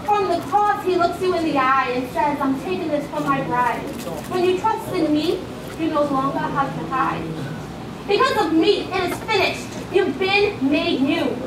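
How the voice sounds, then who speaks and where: A woman delivers a rhymed, sermon-like poem in a strikingly high voice. Her delivery is emphatic and rhythmic. She is heard from far off in an echoey room with clear background noise. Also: moderate pace. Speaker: spoken word poet at a church. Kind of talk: rhymed spoken word poetry recital, sermon-like. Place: church, live before a congregation.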